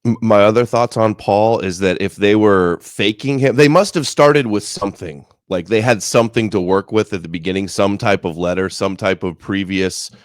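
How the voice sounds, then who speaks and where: Deep-voiced man reasoning in podcast chat. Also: normal speed, few pauses, no room sound, clean studio sound. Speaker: podcast co-host or guest. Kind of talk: casual podcast discussion.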